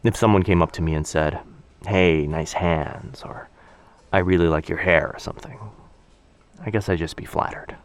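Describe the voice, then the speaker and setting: A man playing a shy, sweetly awkward young stranger in a boyfriend ASMR roleplay, speaking softly and hesitantly in an intimate, rambling way. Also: sits low, moderate pace. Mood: nervous, musing. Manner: hesitant, rambling, soft-spoken with trailing phrases. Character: very shy young male stranger, sweetly awkward, overthinking coffee-shop crush.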